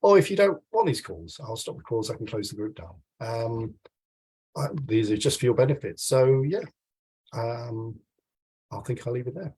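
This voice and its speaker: A British man in his forties, congested with a cold, gives an informal, explanatory coaching talk on a video call, with frequent pauses and a husky voice. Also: medium pitch, expressive, dark tone. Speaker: swimming coach. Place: small room at home, indoors. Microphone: video call, computer mic.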